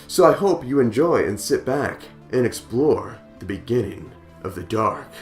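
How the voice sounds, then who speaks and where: An excitable, emphatic man introducing his channel as host in an enthusiastic scripted style. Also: medium pitch, a little slow, faint music behind.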